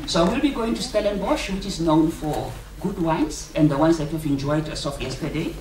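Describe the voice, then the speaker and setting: A woman's voice: deep voice, animated, normal speed, a little mellow. A South African woman with South Sotho as her mother tongue, making informal, jokey announcements to colleagues as a conference organiser. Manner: jokey, colloquial, addressing colleagues. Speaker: conference organiser giving welcome and housekeeping announcements. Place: indoor conference venue, speaking to delegates. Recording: roomy, distant microphone, clear background noise.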